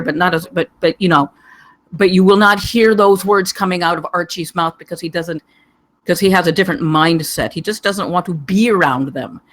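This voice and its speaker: A panelist giving digressive, halting spoken feedback on a script, wandering from point to point. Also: medium pitch, a bit bright. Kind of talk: rambling spoken critique.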